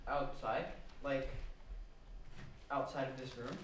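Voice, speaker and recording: A man playing a resigned, obedient worker who clings to his orders, speaking stiffly and deliberately in an amateur stage play. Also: medium pitch, steady tone, fairly bright tone. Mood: subdued. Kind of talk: amateur stage one-act play, absurdist dialogue. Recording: very echoey hall, distant mic, faint noise.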